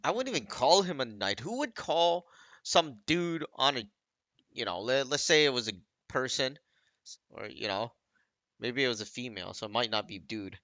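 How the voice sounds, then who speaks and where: A man's voice: mid-range voice, lively intonation, normal speed, bright, forceful tone, no room sound, muffled recording. A man giving casual, off-the-cuff game commentary, rambling and often second-guessing his own wording. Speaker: gaming video creator.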